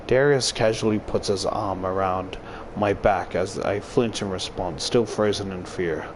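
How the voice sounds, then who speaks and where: A man's voice: sits low, measured pace, slight background noise. A man reading first-person visual novel narration aloud as a YouTuber.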